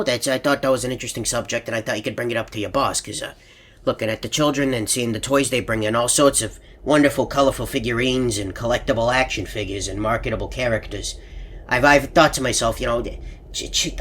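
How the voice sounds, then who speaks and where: Man playing a husky, rambling old hippo storyteller. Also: mid-range voice, fairly bright tone, close to an everyday voice. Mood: earnest, musing. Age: older. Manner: stammering, meandering, hesitant, earnest. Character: rambling, sweet, elderly animatronic hippo storyteller. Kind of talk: rambling anecdotal monologue in a video game.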